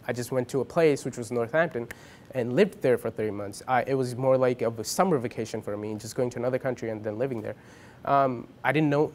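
A young man from India whose first language is Punjabi, answering interview questions in a casual, hesitant way with many ums and restarts. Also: mid-range voice. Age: young adult. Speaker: interviewee, college student. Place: dim indoor room with a lamp.

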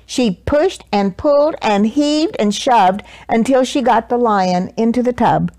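A woman in her sixties reading a children's picture book aloud expressively, with deliberate, dramatic emphasis for young listeners. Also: fairly high pitch, warm tone, no echo. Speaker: children's story reader. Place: small living room, indoors. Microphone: lapel mic.

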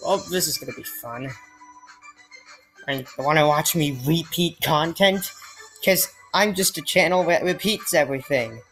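A woman's voice: deep voice, measured pace, a bit bright, rough recording. A woman commentating on a game she is playing, in a casual, exasperated and sarcastic way, often sighing. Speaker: let's play gamer. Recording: faint game music behind.

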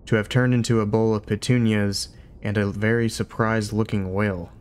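A man's voice: fairly low pitch, level tone, darkish tone, clear, resonant tone, close to an everyday voice. A man doing a doubtful laid-back traveler. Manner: doubtful, measured.

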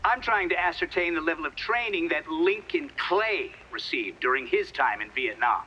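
An actor playing a stern older senator, formally and insistently questioning a witness in a video game cutscene. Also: male voice, on the high side, expressive, normal speed, sharp tone, slight background noise, rough recording. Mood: stern, probing.

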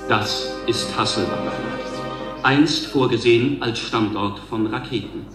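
An actor playing a solemn, emphatic TV announcer in a radio drama. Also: male voice, mid-range voice, music in the background, lightly acted. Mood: portentous. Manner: emphatic, drawn-out, portentous. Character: solemn male TV announcer and reporter. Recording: roomy, echoing announcer sound.

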